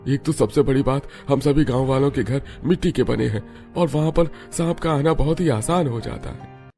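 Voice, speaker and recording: A man's voice: mid-range voice, normal speed, mellow, soft background music, natural voice. A man playing an earnest, alarmed ordinary villager in a cartoon story. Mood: worried. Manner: earnest, worried. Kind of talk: animated Hindi cartoon story.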